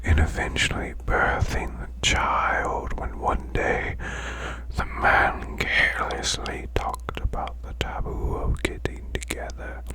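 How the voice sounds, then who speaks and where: A man voicing a demon boss in a breathy, growling whisper, reading folklore slowly as soothing bedtime ASMR. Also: lightly acted, poor audio. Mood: hushed, soothing. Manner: slow, hushed, deliberate recitation. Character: male demon butler's boss, growling softly, soothing storyteller.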